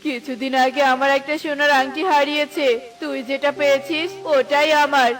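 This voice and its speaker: A woman's voice: high-pitched, level tone, moderate pace, far from the mic, natural voice. A woman voicing a sly, greedy male bird in a fairy-tale cartoon, with a wheedling, scheming manner.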